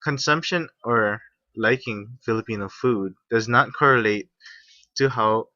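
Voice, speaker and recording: A young man from Hawaii reading a class presentation, halting at times with restarts. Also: medium pitch, sharp tone, dry recording. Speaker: student presenter. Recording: muffled, slightly lo-fi.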